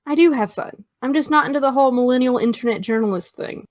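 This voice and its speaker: A woman's voice: high voice, fast, a little mellow, no room sound, natural voice. An actress playing a guarded, hungover teenage girl, dry, hesitant and defensive, in a naturalistic audio drama.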